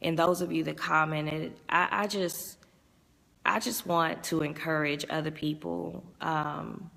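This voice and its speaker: A woman's voice: low voice, steady tone, measured pace, vocal fry, sharp tone, big-room sound. A woman chatting on a live vlog, rambling about her work and reading viewer comments, her voice worn from talking all day. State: strained voice from overuse. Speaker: vlogger and music promoter. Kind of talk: casual live-stream chat.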